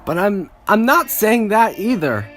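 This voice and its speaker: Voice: mid-range voice, big pitch swings, measured pace. A speaker reading a script aloud in a casual, amused way, breaking into laughter and bantering along the way. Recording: background music under the voice.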